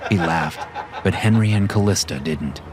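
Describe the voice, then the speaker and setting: A man narrating a scripted horror story in a measured, even way. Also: low voice. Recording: music bed behind voice.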